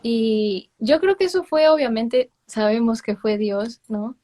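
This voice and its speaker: A woman's voice: fairly high pitch, lively intonation, a little slow, warm tone. A young woman with a Mexican accent, a guest telling stories in a casual interview. She laughs often and drops in English words. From Miami, with long stays in Mexico.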